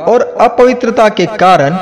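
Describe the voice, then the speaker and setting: A man reading a scripted historical narration in a formal, measured manner. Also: high voice, expressive, a crowd in the background. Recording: music behind the voice. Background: background music.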